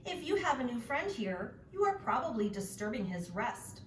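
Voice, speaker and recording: A woman's voice: on the high side, very animated, normal speed, a little hiss or hum, voice pushed up. Storybook old sheep, read by a woman: stern, reproving, lecturing, roomy distant sound. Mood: scolding.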